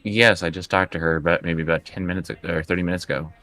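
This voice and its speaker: A man's voice: low-pitched, steady tone, quick pace, sharp tone, dry recording. A man playing a tabletop roleplaying game, speaking conversationally and hesitantly, often repeating words. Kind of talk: improvised in-character tabletop play, conversational.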